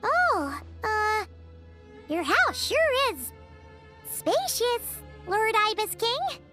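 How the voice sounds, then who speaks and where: A teeny cheeky game fairy, super high squeaky and piercing, music under it. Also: female voice, sing-song, drawn-out words, pushed and bright, heavily acted. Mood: awkward. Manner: squeaky, speaks of self in third person. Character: tiny childlike fairy companion, cheeky and blunt. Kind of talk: English video game voice acting. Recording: background music behind the voice.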